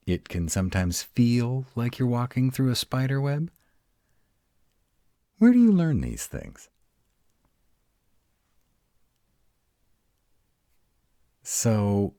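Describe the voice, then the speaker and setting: A very deep male were-dragon, acted by a man, gruff, grumpy and protective. He speaks slowly and haltingly in a roleplay audio, exasperated and defiant, with lively swings in pitch. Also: no echo, studio quality, full character voice. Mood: baffled, skeptical. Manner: gruff, exasperated, halting one-sided dialogue.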